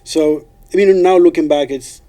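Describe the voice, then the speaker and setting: Man, fairly high pitch, casual storytelling, student podcast guest. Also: fairly even intonation, normal speed, dark tone. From El Salvador. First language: Spanish.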